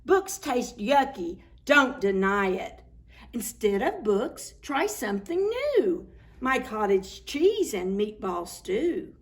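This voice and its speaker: A woman's voice: fairly high pitch, sing-song, slow, heavily acted. A woman voicing a bossy school lunchroom lady from a picture book, insistent and admonishing.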